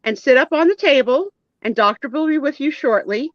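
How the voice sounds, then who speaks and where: A woman's voice: sits high, animated, brisk, dry recording, lo-fi sound, pitched above the performer's own voice. A woman voicing a chirpy, eager trainee nurse in a comic skit, with sing-song professional politeness. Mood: chirpy, businesslike. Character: chirpy female nurse, eager first-day medical student.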